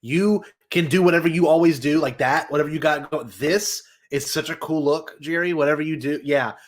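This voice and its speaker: A man co-hosting a fan podcast with casual, playful, excitable banter, often repeating his phrases. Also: on the high side, a little fast. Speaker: podcast co-host.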